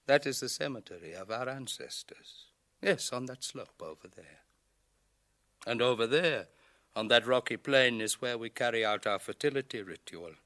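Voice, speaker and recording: Male for radio drama: proud village chief, solemn and challenging, highly animated delivery, forceful edge. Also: moderate pace. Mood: solemn, reverent. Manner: declamatory, rhetorical questioning. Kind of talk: 1980 radio drama acting.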